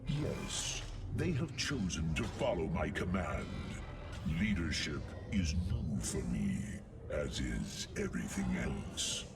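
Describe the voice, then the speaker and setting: An actor voicing an earnest, humble machine warrior in a video game, measured and solemn. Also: male voice, very deep voice, very animated, creaky, distant mic, heavy background noise, exaggerated voice. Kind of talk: dramatic video game acting.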